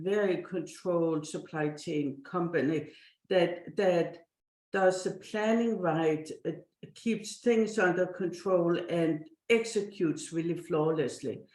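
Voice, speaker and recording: Low-pitched Danish-accented woman, roomy sound. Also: takes it slowly, darkish tone. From Denmark. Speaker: podcast guest, company chief executive. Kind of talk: conversational interview answers.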